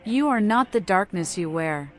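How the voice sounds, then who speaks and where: A woman's voice: mid-range voice, lively intonation, normal speed, soft background music, natural voice. A woman voicing a mad, knowing female vampire in a video game, speaking in a theatrical, riddling, poetic manner. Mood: cryptic. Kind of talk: video game mod voice acting.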